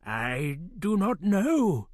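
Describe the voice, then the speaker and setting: An ancient, wise wizard speaks slowly and solemnly, as an audiobook narrator puts him on. His lines rise well above the reading voice and are stretched out word by word. The recording is dry and close. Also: medium pitch, sing-song, no room sound, pitched above the performer's own voice, heavily acted. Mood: explaining. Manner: slow, drawn-out solemn speech. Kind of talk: audiobook character voice.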